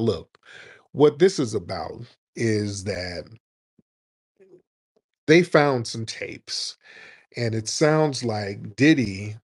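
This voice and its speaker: A man's voice: lowish voice, husky. Combative man hosting a call-in show. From United States. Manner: combative, rhetorical questions, acts out quoted voices. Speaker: livestream show host. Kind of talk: opinionated commentary with callers.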